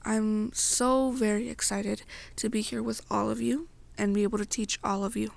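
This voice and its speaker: A young woman narrating an ASMR story to the listener in a halting way, pausing between short phrases. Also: on the high side, level tone, vocal fry. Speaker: amateur roleplay narrator.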